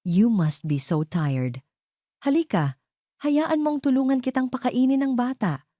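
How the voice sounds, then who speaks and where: A scheming, wealthy former lover played by a female narrator in a romance audio story. She is sugary and condescending, with fake sympathy, in a soft-toned, dry recording. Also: on the high side, expressive, measured pace, dry recording, voice pushed up. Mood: false pity. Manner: sweet-talking with fake pity.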